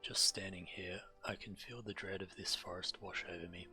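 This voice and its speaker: An actor playing a grave, urgent walrus-folk investigator in a video game, solemn and full of foreboding. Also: male voice, low-pitched, flat delivery, moderate pace, music in the background, close to an everyday voice.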